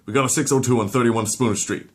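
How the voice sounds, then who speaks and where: A gung-ho exterminator played by a man talks like a soldier in battle. The delivery is urgent and melodramatic, pitched above the actor's usual voice. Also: fairly even intonation, quick pace, lightly acted. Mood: urgent, grim. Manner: urgent, war-movie melodrama.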